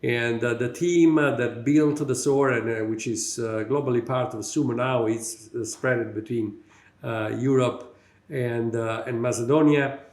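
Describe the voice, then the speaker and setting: A middle-aged man from Italy with an Italian accent, a company executive giving a prepared conference talk, halting with many fillers. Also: mid-range voice, steady tone, a little mellow, echoey room.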